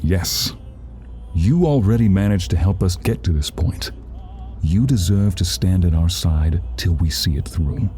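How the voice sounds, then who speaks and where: A man's voice: low-pitched, measured pace, warm tone, soft background music. A man playing a dignified, idealistic demon lord in an audio drama, with a courtly and encouraging manner.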